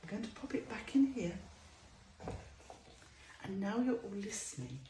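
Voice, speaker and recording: A woman's voice: medium pitch, sing-song, a little slow, warm tone, a little hiss or hum. A woman presenting a show-and-tell lesson for young children, talking to them directly in a sing-song way and stretching out key words. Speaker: children's storytime presenter and teacher. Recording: roomy echo.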